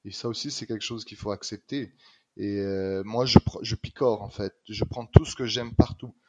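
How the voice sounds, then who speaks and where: Middle-aged guy from réunion, réunionese accent w a bit of québec, lowish voice, emphatic, repeats stuff for punch. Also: normal speed. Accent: Réunionese French with some Québécois color. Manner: lively, emphatic, repeats words for stress. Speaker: guest. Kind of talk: casual conversation.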